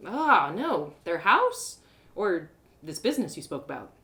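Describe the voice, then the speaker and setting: Young female adventurer, played by a woman for an audio story: excitable, awkward, earnest, widely swinging intonation. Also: lowish voice, measured pace, heavily acted. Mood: curious, awkward. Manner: hesitant, rambling, emotional.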